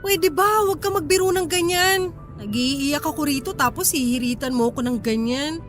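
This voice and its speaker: High-pitched wronged wife, over music. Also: female voice, moderate pace, fairly bright tone. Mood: indignant. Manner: emotional, plaintive, exasperated. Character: tearful, feisty betrayed wife and working mother. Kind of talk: Filipino radio drama acting. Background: background music.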